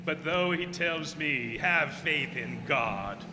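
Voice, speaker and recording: A man's voice: on the high side, expressive, extremely slow. A man playing a proud, mad, tyrannical sea captain who defies heaven in grand archaic declamation, in old-time radio drama style. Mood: scornful. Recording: hall reverb, faint background noise.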